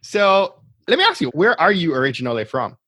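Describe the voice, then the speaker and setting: A man's voice: on the high side, wildly swooping pitch, fairly quick, bright, forceful tone, no echo. A middle-aged man hosting a podcast interview, chatty and affirming, often checking in with "right?". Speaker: podcast host. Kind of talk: conversational interview.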